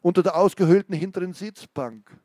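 A man's voice: sits high, dark tone, no echo, studio quality, pitched above the performer's own voice, natural voice. Figure: a man voicing a cold male interrogator. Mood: cold, measured. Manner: curt, measured questioning.

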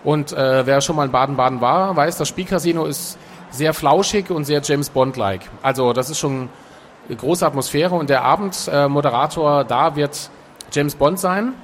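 A man talking casually as a guest in an interview, relaxed and chatty, with frequent filler sounds. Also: on the high side, moderate pace, slight background noise. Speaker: author and guest.

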